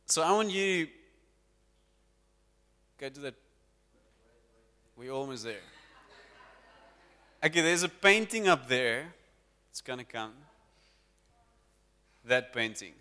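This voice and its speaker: Bright-voiced pastor in a reverberant hall. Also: male voice, medium pitch, slow pace, long pauses. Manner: lively, talks to the congregation. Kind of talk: sermon. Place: church hall. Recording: long church hall reverb.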